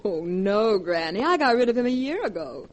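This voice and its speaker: An actress playing a greedy, much-married socialite heir with a gushing, affected manner in 1940s radio acting. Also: female voice, mid-range voice, big pitch swings, moderate pace, close to an everyday voice.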